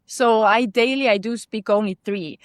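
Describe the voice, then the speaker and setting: A woman's voice: a little high, no room sound. Woman, careful English. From Estonia. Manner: careful, explanatory, slightly halting non-native phrasing. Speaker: podcast guest, consultant. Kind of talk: interview answers.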